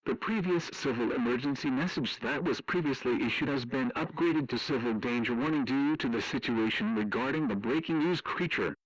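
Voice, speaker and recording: A man's voice: medium pitch, no echo, rough recording. Dry male emergency alert announcer. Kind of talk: scripted emergency broadcast announcement.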